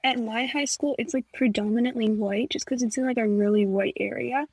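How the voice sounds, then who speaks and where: A young woman from Nepal co-hosting a casual podcast chat, telling stories with lively quoted dialogue. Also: on the high side, brisk, husky, no room sound. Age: young adult. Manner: animated storyteller, acts out quoted dialogue. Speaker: podcast co-host.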